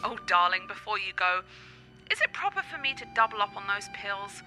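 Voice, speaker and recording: A woman playing a witty, theatrical sweetheart with a dark streak in a radio play, with an arch, dramatic delivery over music. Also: medium pitch, very animated, fairly quick, poor audio. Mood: arch, teasing.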